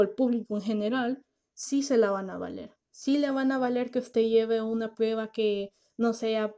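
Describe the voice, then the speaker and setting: Tired lady podcaster, kinda high voice, soft mellow tone, weak r, dull muffled recording. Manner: earnest, reassuring, speaks directly to listeners; says she can't pronounce the R well. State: tired, finding it hard to talk. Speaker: podcast host, lawyer and author. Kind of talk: casual explanatory podcast talk.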